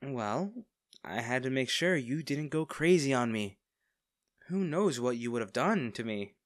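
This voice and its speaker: A smug villain man, acted by a man in an intimate audio drama. He has a mid-pitched voice and lingers over coy, teasing pauses, hiding his fondness. The sound is dry and close. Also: expressive, dry recording, close to an everyday voice. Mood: coy, indulgent. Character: smug, teasing villain man, secretly fond of captive hero. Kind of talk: intimate scripted audio roleplay.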